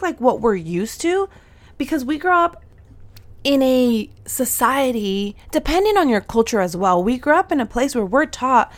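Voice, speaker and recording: A woman in her thirties hosting a solo podcast, bubbly and affirming, her voice slightly nasal and raspy today. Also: a little high. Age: 30s. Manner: bubbly, affirming, with slang and laughs. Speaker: podcast host and soul mentor. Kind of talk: upbeat solo podcast talk.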